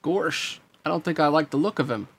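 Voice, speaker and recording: A man's voice: on the high side, a little fast, creaky, fairly bright tone, a little hiss or hum, voice pushed up. A man voicing a good-natured, folksy cartoon dog who frets in a storybook reading. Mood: wary.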